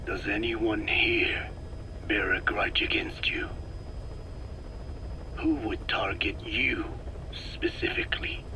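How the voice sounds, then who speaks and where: Slow, whispering, ominous man. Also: fairly low pitch, rough recording. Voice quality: breathy, whispery. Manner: slow, halting, portentous. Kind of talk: dramatic game monologue.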